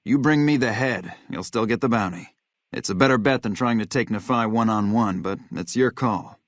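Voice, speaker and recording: An actor playing a hard-bitten, vengeful military officer in a video game, blunt, profane and matter-of-fact. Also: male voice, on the low side, moderate pace, no room sound, clean studio sound, lightly acted. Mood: grim, warning. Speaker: game character, army officer.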